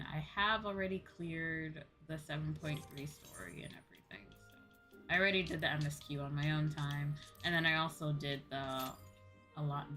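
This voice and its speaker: Low-pitched woman streamer, casual and rambling. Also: fairly bright tone. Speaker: livestreamer and host. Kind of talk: casual game livestream chat to viewers.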